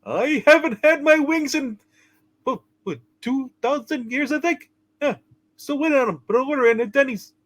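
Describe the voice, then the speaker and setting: A man's voice: very high-pitched, moderate pace, a bit bright. A man voicing a kindly, fussy, eccentric old guardian angel in a hammed-up radio play reading, with chirpy, theatrical politeness. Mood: fussy, chiding. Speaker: channel co-host.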